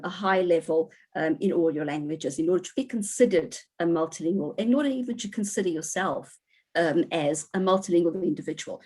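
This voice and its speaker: A woman's voice: lowish voice, a little mellow. A middle-aged woman from South Africa, a university lecturer, giving an online lecture in a chatty way with many fillers and picking up audience answers from the chat.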